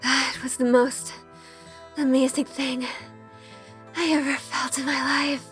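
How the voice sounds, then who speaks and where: A woman's voice: high-pitched, little pitch movement, measured pace. An actress playing a sheltered, earnest young woman who is new to witchcraft, in an audio drama. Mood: awed, curious. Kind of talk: audio drama acting with first-person narration. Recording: long reverb, music behind. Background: music.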